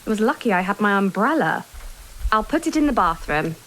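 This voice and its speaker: An actress playing a brisk, practical home carer in a naturalistic audio drama. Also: female voice, mid-range voice, very animated, fairly bright tone, slight background noise, rough recording. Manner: matter-of-fact, brisk.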